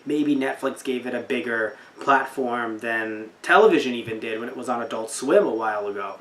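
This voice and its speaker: A man's voice: medium pitch, moderate pace, a bit bright. A young man podcast host chatting casually about anime in a rambling, incredulous way, often repeating himself. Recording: roomy echo, distant from mic.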